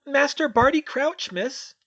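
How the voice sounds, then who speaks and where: Female house-elf, tiny and scared, quivering squeak, very high, deferential, broken grammar, storybook. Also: lively intonation, a little slow, a bit bright, muffled recording. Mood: nervous. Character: timid female house-elf, frightened and anxious.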